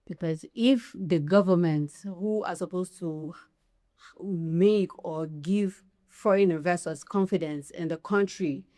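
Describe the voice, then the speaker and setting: A woman panelist speaks firmly in quick bursts. Her voice is very low and mellow, with a warm, soft edge.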